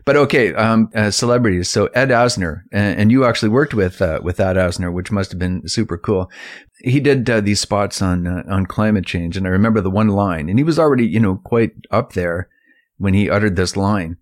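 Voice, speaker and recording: A man with a fairly low voice hosts a podcast in an easy conversational style. He steers the talk along in short, halting pieces. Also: dry recording, clean studio sound. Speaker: podcast host. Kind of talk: casual chat, steering the conversation.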